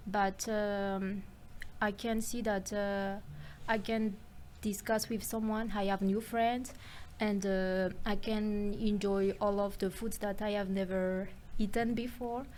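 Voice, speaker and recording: A woman's voice: medium pitch, little pitch movement, slow, darkish tone, slight background noise. A young woman whose first language is French, speaking English carefully in simple sentences. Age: young adult. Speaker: guest, international student.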